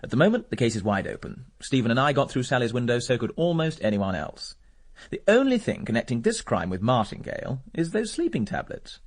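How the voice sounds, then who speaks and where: Quick, husky, urbane Englishman. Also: medium pitch, big pitch swings. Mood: cool, wry. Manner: urbane, dry, measured logic. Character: cool, cynical male war veteran, calmly calculating. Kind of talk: radio drama dialogue.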